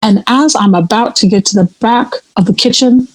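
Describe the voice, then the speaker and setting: A woman's voice: on the high side, moderate pace, no echo, close to an everyday voice, poor audio. An actress playing a spooked, God-fearing churchgoing woman in an audio play, telling of a haunting in folksy, emphatic talk with repeated phrases. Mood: shaken, haunted. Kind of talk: audio play acting, conversational storytelling.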